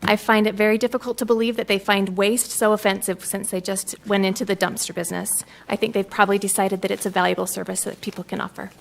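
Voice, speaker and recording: A woman's voice: medium pitch, little pitch movement, fast talker, creaky, few pauses. A woman who owns a business, reading an earnest, defensive prepared statement at a city council meeting.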